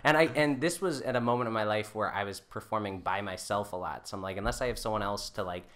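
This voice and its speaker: Male podcast guest, low-pitched but bright. Casual, self-deprecating storyteller who quotes dialogue. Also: fast. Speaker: podcast guest, folk musician. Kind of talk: casual chat with anecdotes.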